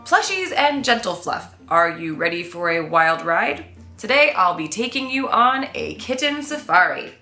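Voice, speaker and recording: A woman voicing an orphan baby kitten who plays a pompous, excitable safari guide in a children's storybook. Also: medium pitch, a little slow, roomy sound, soft background music. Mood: grand, excited. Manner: theatrical tour-guide patter, exclaiming.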